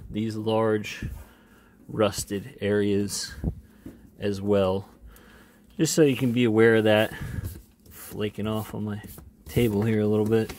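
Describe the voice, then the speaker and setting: A man giving a casual, unscripted product demonstration as an online seller, plainspoken and a bit halting. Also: on the low side, darkish tone, slight background noise.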